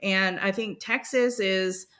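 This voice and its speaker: Bright-voiced woman, thoughtful guest. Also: mid-range voice, steady tone, slow pace. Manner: thoughtful, many ums and hesitations. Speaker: venture capital guest. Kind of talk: casual conversational interview.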